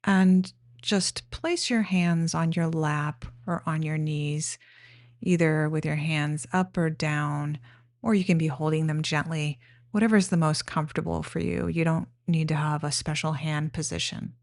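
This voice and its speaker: A woman leading a guided meditation, giving gentle, plain-spoken instructions in an unhurried way with pauses. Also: low-pitched, fairly even intonation, no echo, clean studio sound.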